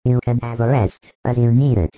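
A calm, solemn male teacher speaks with measured authority, a little louder than the telling around him, as the narrator voices him. The sound is dry and tinny, close to a phone line. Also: mid-range voice, no echo, natural voice.

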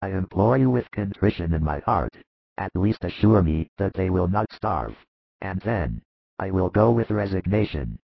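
Dying penitent man, husky, deep, gravelly, desperate, pleading, scripture drama, slightly dull audio. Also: very animated, moderate pace, dry recording, heavily acted. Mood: contrite, begging. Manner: desperate, sobbing delivery. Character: wretched sick husband, repentant and begging for mercy.

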